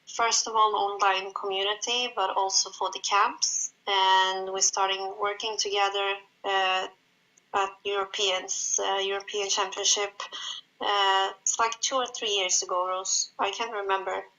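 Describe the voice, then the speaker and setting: A woman from Sweden, speaking English as a guest in a casual interview and pausing often on fillers. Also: mid-range voice, little pitch movement, bright, forceful tone, poor audio. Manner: hesitant, many fillers, encouraging. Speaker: guest, jiu-jitsu event organizer.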